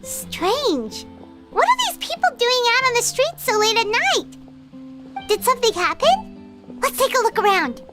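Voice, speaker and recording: Tiny fairy sidekick, super high squeaky voice, bubbly and nosy, bouncy pitch. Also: female voice, sing-song, measured pace, loud music behind the voice, heavily acted. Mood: curious. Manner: bubbly, curious, fussy. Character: tiny chirpy female fairy companion. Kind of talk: game voice acting.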